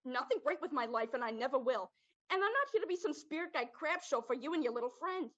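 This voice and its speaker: A woman's voice: high voice, expressive, fast talker, fairly bright tone, dull audio. A young woman playing a jaded male spirit in a girl's body, talking streetwise in a student stage play. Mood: bitter. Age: young adult. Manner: streetwise, drops grammar, calls listener kid. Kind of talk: student one-act stage acting.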